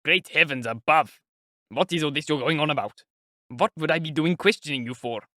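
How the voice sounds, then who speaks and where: A man's voice: medium pitch, brisk, a bit bright, no room sound, studio quality, natural voice. A man performs a cunning investigator from a storybook novel. He clucks and fusses with effusive politeness, nervy and ingratiating, sounding sly beneath the joviality. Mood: mock astonishment. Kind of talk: audiobook character acting.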